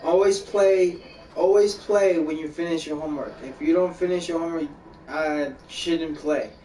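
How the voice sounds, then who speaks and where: A man's voice: a little high, fairly even intonation, moderate pace, warm tone, a little hiss or hum. Echoey distant male game streamer. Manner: talks like an older mentor, repeats himself, calls the listener bro and buddy. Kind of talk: casual chat while streaming a game.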